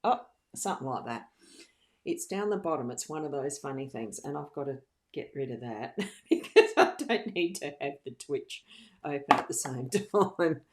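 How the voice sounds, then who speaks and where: Female live-stream card reader, exceptionally low voice, casual, giggly and a bit scattered. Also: animated, moderate pace, slight background noise. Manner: chatty, giggly, scattered, thinking aloud.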